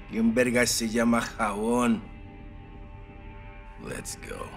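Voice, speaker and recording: A man's voice: mid-range voice, steady tone, gravelly, faint music behind, heavily acted. A man playing a menacing, boastful Mexican cartel enforcer in a game, threatening in accented English and Spanish. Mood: mocking. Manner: slow, threatening, swings between quiet and loud. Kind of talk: video game villain acting.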